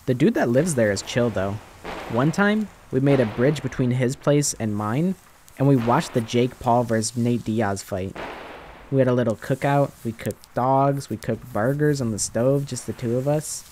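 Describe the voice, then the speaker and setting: A young slacker boyfriend, acted by a man in an ASMR audio roleplay, with a mid-pitched voice. He rambles casually, swearing and flippant, in a deadpan way. There is noticeable ambience noise behind him. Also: moderate pace, natural voice. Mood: casual, reassuring. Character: young slacker boyfriend sheltering his crush from zombies, flippant.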